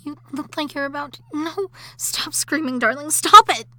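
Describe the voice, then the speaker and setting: A woman playing a possessive undead wife. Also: a little slow. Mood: frantic, possessive. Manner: intimate whispering, turning to shrill shouting. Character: obsessive jealous undead wife, possessive and unstable. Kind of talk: audio roleplay drama.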